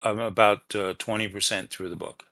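Man co-hosting, halting and questioning, close dry sound. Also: lowish voice, steady tone, vocal fry, fairly bright tone, no echo. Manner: halting, questioning, often repeats himself. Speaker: podcast co-host. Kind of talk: casual book discussion.

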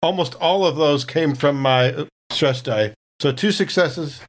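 A man's voice: fairly high pitch, a little slow. A man playing a tabletop role-playing game, chatting casually and thinking aloud as he tentatively talks through his moves.

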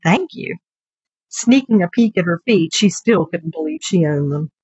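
A woman's voice: on the low side, animated, moderate pace, warm tone, husky, no room sound. A woman writer reading her own literary short story as a narrator. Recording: dull, dry recording with music bed.

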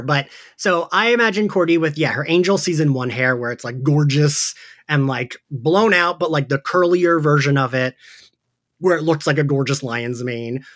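A man's voice: a little high, a bit bright. A man hosting a casual podcast discussion in a giggly, agreeable manner. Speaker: podcast host leading a discussion. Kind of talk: casual chat.

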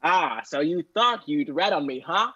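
Man playing a high, husky gangster boss. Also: expressive, moderate pace, sharp tone, highs cut off, exaggerated voice. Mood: menacing. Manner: threatening, boastful. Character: taunting male movie gangster boss. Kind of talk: 1930s gangster movie parody acting.

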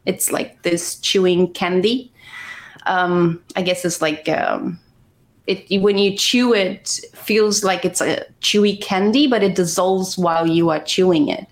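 A woman's voice: fairly low pitch, fairly even intonation, unhurried. Chatty Romanian-accented woman in her forties. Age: 40s. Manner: chatty storytelling with frequent laughs. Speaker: guest. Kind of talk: casual conversation.

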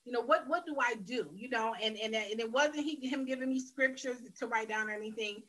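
A woman tells her own story on a faith interview show. Her voice is fairly high and bright, and she speaks earnestly and candidly, often circling back to restart a phrase. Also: a little fast, low-quality recording. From Detroit, Michigan, USA. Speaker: guest sharing her life story. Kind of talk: personal testimony in a faith interview.

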